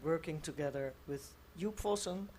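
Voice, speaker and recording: A woman's voice: deep voice, moderate pace, a little mellow, low-quality recording. Dutch woman on a radio panel, hesitant english, faint noise behind her. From Rotterdam, Netherlands. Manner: hesitant, searching for words. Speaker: guest, education project manager. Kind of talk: self-introduction in an interview.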